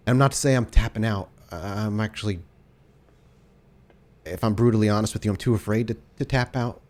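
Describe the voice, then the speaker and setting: A man playing an anxious, devoted brother who speaks earnestly in halting, stammering starts. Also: on the low side, darkish tone, natural voice. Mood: anxious, pleading.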